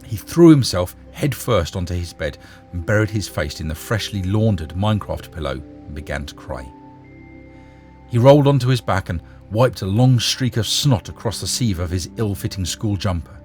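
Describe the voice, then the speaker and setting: Deep-voiced man reading a story expressively. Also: animated, moderate pace, a little mellow, faint music behind. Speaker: narrator and story reader. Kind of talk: expressive short story narration.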